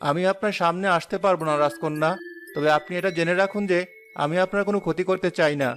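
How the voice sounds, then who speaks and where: A man voicing a mysterious, unseen forest guardian in an animated fairy tale, protective, courteous and formal. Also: high-pitched, fast, faint music behind. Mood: reassuring, mysterious. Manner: formal, solemn, polite.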